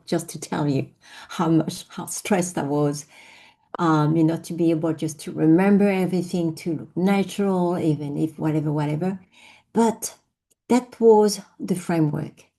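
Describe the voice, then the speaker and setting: Low, mellow-voiced woman with French accent. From France. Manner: reflective, many fillers and restarts. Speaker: invited speaker. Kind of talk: personal storytelling talk. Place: online session.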